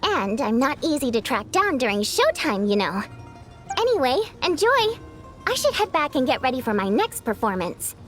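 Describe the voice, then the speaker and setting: Bossy playful showgirl from a game, high lively voice, teasing, faint music behind. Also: normal speed. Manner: theatrical, teasing, commanding. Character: spirited young female stage performer, playful, bossy and affectionate. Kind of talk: video game voice acting.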